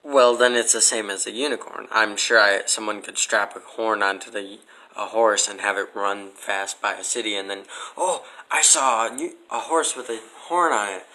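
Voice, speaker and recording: A man's voice: on the low side, normal speed, pushed and bright. A man chatting casually as an interview guest, with a blunt, skeptical way of talking. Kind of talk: casual chat.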